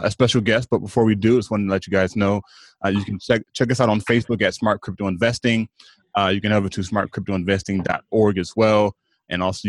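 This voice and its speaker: A man's voice: fairly low pitch, dry recording. A man hosting a podcast, welcoming a guest and asking questions in a hesitant way with many restarts. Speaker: podcast host.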